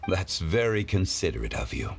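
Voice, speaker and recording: A man playing a refined, courteous, scholarly gentleman in an anime video game, speaking formally and with composure. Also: deep voice, big pitch swings, gravelly, slight background noise, exaggerated voice. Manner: formal, measured. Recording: music behind the voice.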